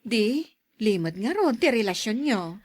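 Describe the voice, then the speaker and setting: A woman's voice: fairly high pitch, very animated, a little slow, darkish tone, breathy edge, dry recording, studio quality, heavily acted. An actress playing a young man's teasing, probing sister in a radio drama. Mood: curious.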